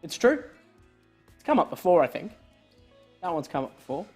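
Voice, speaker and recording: A man's voice: on the high side, very animated, moderate pace, husky, soft background music. A man born in Scotland hosting a live online quiz, joking with his co-host and laughing often. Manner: jokey, laughing often, trailing off quietly.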